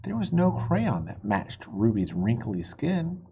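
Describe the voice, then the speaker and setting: A storybook reader giving an expressive read-aloud for children, explaining things simply. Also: mid-range voice, slow pace, vocal fry, slightly rough, muffled recording.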